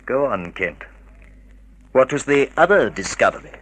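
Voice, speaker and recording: An actor playing a grave, bewildered husband in a 1950s radio drama, speaking in a formal, subdued way. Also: male voice, mid-range voice, big pitch swings, moderate pace, a bit bright. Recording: noisy old radio recording with clicks.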